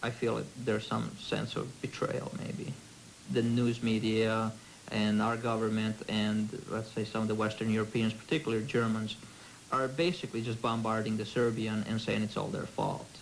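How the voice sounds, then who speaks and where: A man's voice: fairly low pitch, flat delivery, moderate pace, gravelly, a little hiss or hum, low-quality recording. A man interviewed for the news, speaking earnestly and with frustration. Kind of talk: interview soundbite.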